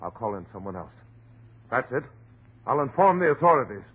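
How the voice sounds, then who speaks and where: An actor playing an earnest, dutiful doctor, shaken and urgent, in dramatic 1930s radio horror style. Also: male voice, mid-range voice, sing-song, brisk, slightly rough. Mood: bewildered. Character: earnest, dutiful male doctor, shaken yet insisting on reason. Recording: muffled, phone-like 1930s radio recording.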